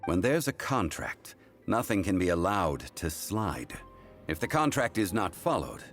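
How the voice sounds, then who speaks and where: A man voicing a calm, formal, ancient gentleman who speaks in measured, deliberate sentences in a video game. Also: sits low, soft background music. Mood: stern. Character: ancient, composed male consultant of contracts.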